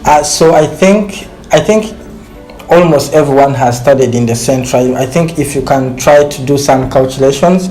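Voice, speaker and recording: A man's voice: medium pitch, normal speed, dark tone, soft background music, poor audio. A man with a Ugandan accent, from western Uganda, hosting a casual group interview in loose, repetitive phrasing. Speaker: host.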